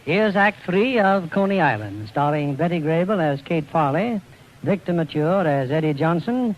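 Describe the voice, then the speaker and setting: A man's voice: fairly high pitch, expressive, normal speed. A man formally presenting a radio play as host in 1940s style. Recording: dull, noisy vintage 1940s radio recording.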